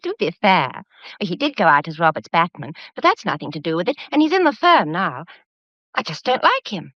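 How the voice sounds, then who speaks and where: A woman's voice: on the low side, sing-song, moderate pace, no echo. An actress playing a worried, well-bred young English woman in a radio drama, earnest and polite. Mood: defensive. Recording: dull, muffled radio play recording.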